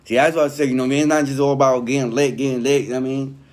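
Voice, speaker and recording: Guy w a medium pitch voice reading stuff out and commenting, real slangy and conversational, keeps checking u get him. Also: level tone, racing. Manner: street-slang, conversational, peppered with "you know what I mean". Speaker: online commentator reviewing a written statement. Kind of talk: informal commentary mixed with reading aloud.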